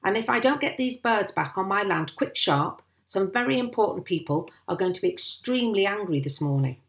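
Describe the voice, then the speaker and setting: A woman's voice: medium pitch, lively intonation, brisk, voice pushed up, lightly acted. A woman voicing a furious, haughty male landowner in a storybook, blustering, bullying and demanding.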